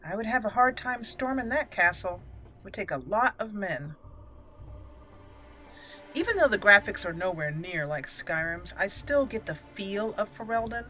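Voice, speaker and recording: A woman records playful gaming commentary in a very bright voice. She takes her time, thinking aloud in a chatty, expressive way. Soft game music runs behind her. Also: mid-range voice, a little slow. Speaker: gaming video host. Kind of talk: playful let's play commentary with roleplay.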